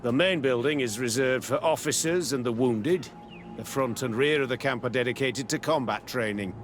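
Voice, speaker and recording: An actor playing a guarded, commanding military captain in a video game, speaking in a measured, curt and authoritative way. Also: male voice, mid-range voice, faint music behind, close to an everyday voice. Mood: guarded, official. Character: guarded, commanding male captain running a secret military camp. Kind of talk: video game dialogue acting.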